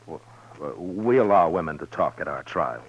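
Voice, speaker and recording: An American man acting a gruff but humane, plain-spoken frontier marshal in a 1950s radio western. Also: deep voice, lively intonation, moderate pace, exaggerated voice. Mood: earnest, gentle. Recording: dull vintage 1950s radio recording.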